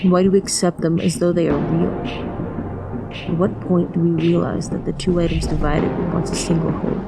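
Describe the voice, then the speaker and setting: A woman's voice: on the low side, level tone, fairly quick, creaky. A woman giving a dramatic, reflective prologue narration in a musing, philosophical manner. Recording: loud music drowning the voice. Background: loud music.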